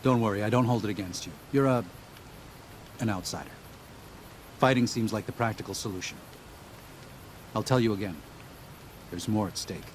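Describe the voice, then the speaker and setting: An actor playing an earnest, weary pacifist missionary in a video game, moralising in a pleading manner. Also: male voice, fairly low pitch, normal speed, long pauses, slight background noise, lightly acted. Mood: weary, resigned.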